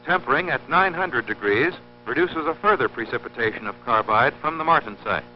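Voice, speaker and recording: A man narrating an instructional film in a formal, scripted, measured and precise teaching manner. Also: medium pitch, lively intonation, soft background music. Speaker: narrator of an industrial training film. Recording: dull lo-fi old film soundtrack, humming.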